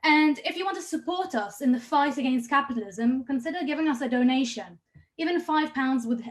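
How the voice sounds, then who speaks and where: A woman with a high, light voice hosts an online meeting from home, speaking over a computer mic on a video call. She makes brisk announcements and introduces each speaker, stumbling now and then on a word. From Israel and Palestine. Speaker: host.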